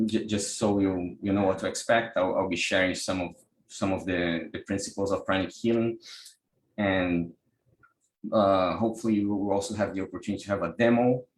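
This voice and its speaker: A man's voice: low voice, roomy sound, low-quality recording. A man from Brazil with a Brazilian Portuguese accent, giving an online workshop talk over a video call, hesitant with many restarts. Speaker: workshop presenter, pranic healer.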